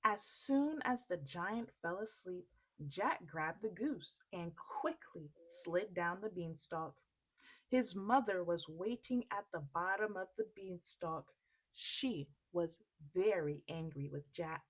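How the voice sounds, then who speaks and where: A woman reading a children's story aloud expressively, in an unhurried, deliberate way. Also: lowish voice, animated, rough recording.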